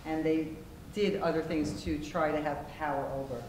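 A woman's voice: sits low, steady tone. Distant woman on a noisy echoey stage. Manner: measured, explanatory. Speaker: panelist, conflict resolution practitioner. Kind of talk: onstage discussion. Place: theater stage, seated.

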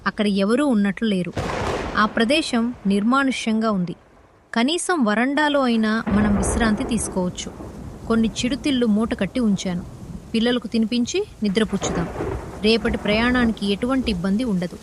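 A woman as a higher-voiced caring village wife. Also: moderate pace, noisy background, natural voice. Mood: practical, encouraging. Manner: reassuring, gentle, practical. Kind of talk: animated moral story voice acting. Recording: clear background music behind the voice.

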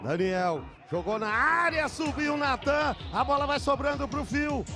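A man calling a football match play-by-play, shouting the goals in an excited commentary style. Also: extremely high pitch. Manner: shouting goal calls, rapid. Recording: match clip with loud crowd and music. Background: stadium crowd.

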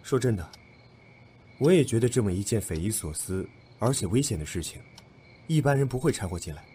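A man's voice: on the low side, expressive, fairly quick, warm tone, slight background noise, close to an everyday voice. An actor playing a shrewd, probing young man in a Chinese audio drama. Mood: suspicious.

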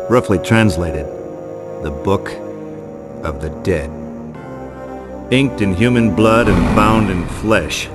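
An actor playing a weary, tough, wisecracking horror game hero, deadpan with dramatic pauses. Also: male voice, sits low. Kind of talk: scripted first-person horror game narration. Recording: loud music behind voice.

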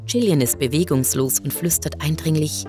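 Woman, deep voice, audiobook-style storytelling, scripted, music playing behind. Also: moderate pace. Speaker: story reader. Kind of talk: scripted first-person narration.

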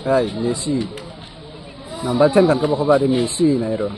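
A man casually commentating a football match from the sideline outdoors, shouting encouragement and laughing often. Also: medium pitch, unhurried, rough recording. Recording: crowd noise at an outdoor match. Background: crowd.

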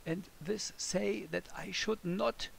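A man from Germany with a German accent, teaching a children's music lesson in simple, deliberate phrases and playfully addressing the listener. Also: sits high, steady tone, vocal fry. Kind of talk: scripted children's music lesson, speaking as a melody.

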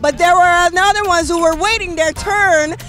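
High-voiced woman sports interviewer. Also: moderate pace, bright, forceful tone, soft background music. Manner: curious, asks long careful questions. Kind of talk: outdoor event interview. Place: outdoors at a race venue. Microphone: handheld mic.

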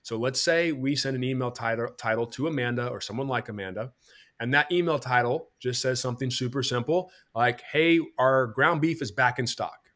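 A man's voice: mid-range voice, normal speed, fairly bright tone. A man in his thirties hosting a farm marketing podcast over a video call, explaining ideas step by step and acting out what customers think. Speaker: podcast host. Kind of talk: teaching podcast talk. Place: bright home room.

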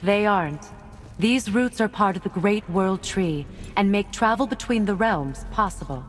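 An actress playing a wise, measured witch goddess who guides and instructs in a fantasy video game. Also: medium pitch, faint music behind, natural voice. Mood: solemn. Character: wise, calm witch goddess, guiding and solemn. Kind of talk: video game cutscene dialogue. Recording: clear background noise from game ambience.

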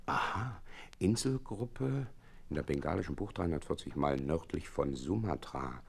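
An actor playing a detective's earnest, easily exasperated doctor companion in a German audio drama, with a husky voice. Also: male voice, extremely low pitch, expressive, normal speed, full character voice. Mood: intrigued. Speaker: narrator and character.

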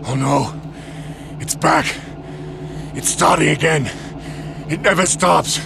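A man's voice: a little high, slow, gravelly, background noise, exaggerated voice. An actor playing a frantic, despairing man in endless burning torment in a video game. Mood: anguished, panicked. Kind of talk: video game character acting.

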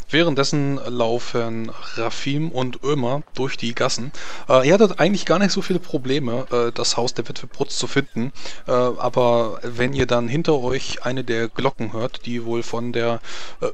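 A man narrating a tabletop role-playing game as game master, piecing his descriptions together haltingly. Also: medium pitch. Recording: music under the voice. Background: background music.

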